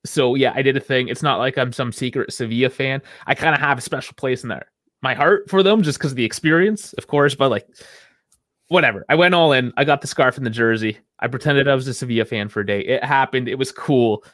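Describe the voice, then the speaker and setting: A man's voice: medium pitch, a little fast, a bit bright, dry recording, clean studio sound. A man co-hosting a casual sports call-in podcast, talking in a chatty, rambling way and laughing often. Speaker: podcast co-host.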